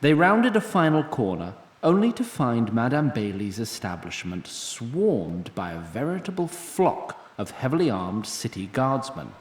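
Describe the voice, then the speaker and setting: A wry fantasy storyteller, narrating in formal, literary phrases. His mid-pitched voice carries plenty of echo and a long reverberant tail. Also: very animated, unhurried, few pauses. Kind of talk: scripted narration.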